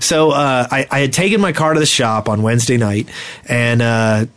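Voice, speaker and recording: Casting: young male podcast host, mid-pitched, chatty and animated, casual storyteller. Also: moderate pace. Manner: animated, acts out quoted exchanges.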